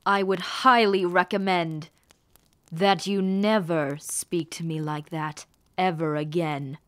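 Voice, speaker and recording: A woman playing a haughty, menacing rebel mistress in a fantasy audio roleplay, speaking formally and condescendingly to the listener with theatrical pauses. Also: lowish voice, no echo. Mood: stern warning. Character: haughty, menacing villainess, rebel mistress with sweet cruel poise.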